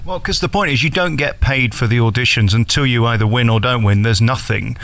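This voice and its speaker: A man's voice: mid-range voice, brisk, gravelly, fairly bright tone, dry recording. A man hosting a conversational celebrity interview, putting direct, probing questions. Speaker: interviewer, radio host.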